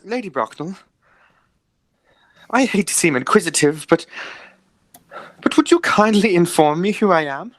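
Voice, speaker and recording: Woman playing an earnest young gentleman, close dry sound. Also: lowish voice, expressive, measured pace, pauses often, no echo. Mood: anxious, insistent. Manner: formal, theatrical. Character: earnest, excitable young gentleman seeking his origins. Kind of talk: amateur radio play of period comedy.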